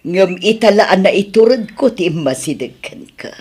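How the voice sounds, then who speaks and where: A woman's voice: medium pitch, expressive, normal speed, darkish tone, slightly rough. A woman with an Ilocano accent acting a forward, flirtatious admirer in an audio drama. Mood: flirtatious, coy.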